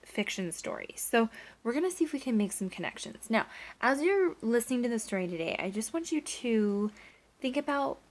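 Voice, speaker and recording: A woman teacher reading a picture book aloud, pausing to explain and to ask the children questions. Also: a little high, lively intonation.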